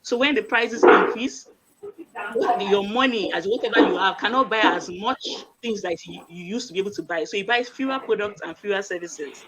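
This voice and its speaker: A woman's voice: medium pitch, normal speed, over a noisy crowd, rough recording. A woman, a doctor on a live online panel, explaining plainly and repeating key phrases. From Nigeria. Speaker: medical doctor, panel guest.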